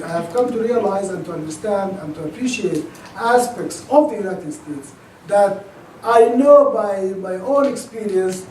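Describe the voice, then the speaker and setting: A man from Sudan with a Sudanese accent, giving an academic lecture in a thoughtful, halting way full of restarts. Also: high voice, warm tone, slight background noise. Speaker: guest lecturer. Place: lecture auditorium. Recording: echoey auditorium, mic at a distance.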